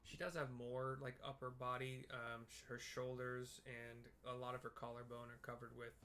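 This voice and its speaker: Guy narrating a game, average pitch, rambly and unsure, pokes fun at himself, noisy background. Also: flat delivery, moderate pace, pushed and bright. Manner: hesitant, rambling, self-deprecating. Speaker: video game streamer and narrator. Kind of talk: casual commentary with visual descriptions. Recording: clearly audible background noise.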